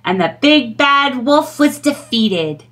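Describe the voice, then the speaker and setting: A middle-aged woman reading a children's storybook aloud in an expressive storytelling style. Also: high voice, slow pace.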